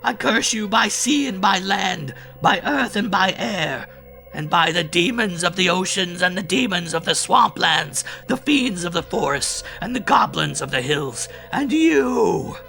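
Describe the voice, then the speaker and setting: Vengeful gaunt old crone, performed by a man: pitched-up screaming, theatrical archaic cursing for a horror tale, over clear music. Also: expressive, unhurried, pushed and bright, full character voice. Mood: furious cursing. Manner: theatrical, incantatory, archaic speech.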